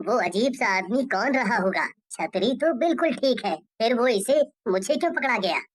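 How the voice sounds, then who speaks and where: A performer voicing a humble, kind male shopkeeper, a loving husband and father who speaks gently and earnestly in a cartoon story. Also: medium pitch, expressive, normal speed, pitched below the performer's own voice, close to an everyday voice. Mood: puzzled, plain.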